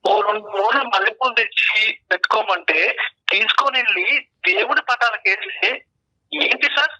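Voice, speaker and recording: A man's voice: high voice, normal speed, sharp tone, dull audio. A man calling in to a show, airing a personal grievance in a pleading, insistent way. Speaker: caller.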